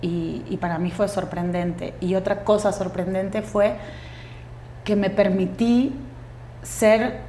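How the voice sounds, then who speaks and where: A woman in her forties with a Uruguayan Spanish accent, a guest actress speaking thoughtfully in an interview, pausing often with fillers and restarts. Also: mid-range voice, steady tone, warm tone, reverberant, a little hiss or hum. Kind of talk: reflective interview conversation.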